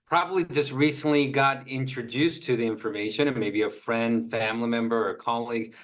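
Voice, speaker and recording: A man's voice: mid-range voice, fairly even intonation, a little fast, a bit bright. A man from Korea, a sales executive giving an earnest, friendly presentation on a video call, with many fillers.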